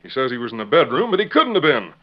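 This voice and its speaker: A man's voice: medium pitch, sing-song, fast talker, natural voice. A stern, plainspoken western lawman, acted by a man in a radio western, talking in folksy, matter-of-fact cowboy speech. The old recording sounds dull and muffled. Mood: skeptical. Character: plainspoken, stern but regretful western jail guard. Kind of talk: 1940s radio western acting.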